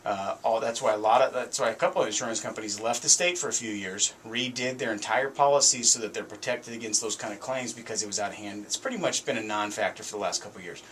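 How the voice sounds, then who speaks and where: A man's voice: on the low side, quick pace, hardly any pauses, fairly bright tone, slight background noise. A middle-aged American man hosting a radio show, telling stories in a casual, conversational and emphatic way. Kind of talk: casual radio talk, storytelling. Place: small foam-treated radio studio, indoors. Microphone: studio microphone on boom arm.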